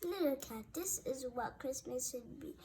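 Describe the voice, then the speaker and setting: A woman reading a rhyming children's Christmas story aloud, haltingly, often stumbling over and repeating words. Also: sits high, lively intonation, mellow. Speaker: storybook reader. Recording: noticeable background noise.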